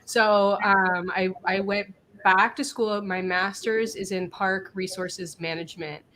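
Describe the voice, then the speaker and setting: A woman's voice: medium pitch, steady tone, unhurried, bright, forceful tone. A woman, slightly scratchy from a cold, chatting as an interview guest with laughs and restarts. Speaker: guest, business coach. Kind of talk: casual live-stream interview.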